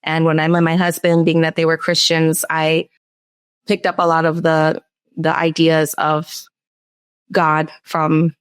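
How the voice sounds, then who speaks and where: Composed woman, low voice. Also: monotone, measured pace, clean tone, no room sound, studio quality. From Orlando, Florida. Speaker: guest. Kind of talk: personal testimony interview.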